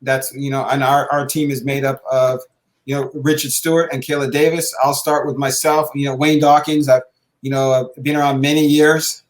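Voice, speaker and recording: A middle-aged man hosting a show conversationally, halting often with filled pauses. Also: mid-range voice, flat delivery. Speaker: podcast host, basketball coach.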